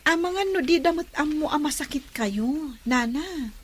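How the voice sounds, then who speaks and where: A woman's voice: sits high, animated, normal speed. An actress playing a kind, dutiful young village woman in an Ilocano radio drama, speaking with an Ilocano accent. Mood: caring.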